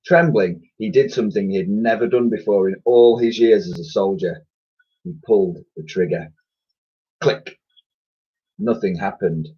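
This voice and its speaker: Teacher guy reading a kids book, husky, lively pitch, dull lo-fi sound. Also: measured pace, long pauses. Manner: expressive, lively storyteller. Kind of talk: children's storybook reading.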